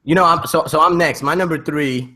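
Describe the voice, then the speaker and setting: A man hosting a casual podcast with friends, bantering excitably and emphatically in profane slang. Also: fairly high pitch, fairly quick. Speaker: podcast host.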